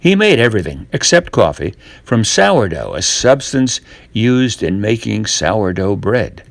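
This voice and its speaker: An older man reading a storybook tale for children in a deliberate way, with dramatic pauses. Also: fairly low pitch, very animated, vocal fry, dry recording.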